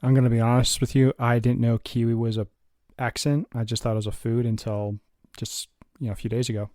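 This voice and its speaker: A man co-hosting a movie podcast, speaking in a halting, candid way with many restarts. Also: medium pitch, fairly even intonation, darkish tone, no room sound, clean studio sound. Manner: hesitant, honest, halting restarts. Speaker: podcast co-host. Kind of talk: casual chat.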